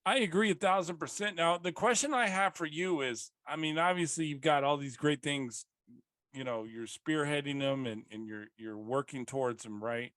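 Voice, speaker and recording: A man hosts a relaxed podcast interview, chatty and quick to joke. His voice sits a bit high for a man and sounds dry and close. He fills his questions with loose asides. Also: no room sound. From South Texas. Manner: chatty, joking, many fillers. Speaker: podcast host. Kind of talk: casual interview.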